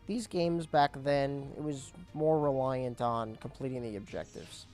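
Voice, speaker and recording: A young man casually commentating his gameplay, explaining objectives as he goes, his voice cracking and squeaking now and then. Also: fairly even intonation, measured pace, darkish tone, faint music behind. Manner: chatty, explains objectives as he plays. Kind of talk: casual let's play commentary.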